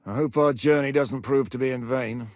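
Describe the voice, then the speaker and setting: An actor voicing a seasoned, commanding male war captain in a video game, blunt and quick to bark orders. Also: medium pitch, darkish tone, no echo, clean studio sound, close to an everyday voice. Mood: calm. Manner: barks battle orders, brash and blunt. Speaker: military commander.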